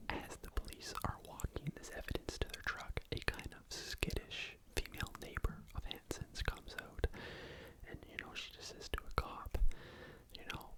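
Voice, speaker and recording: A person whispering a story in an informal ASMR style, breathy and unvoiced, repeating words for emphasis. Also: low-quality recording. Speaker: ASMR host telling a true crime story.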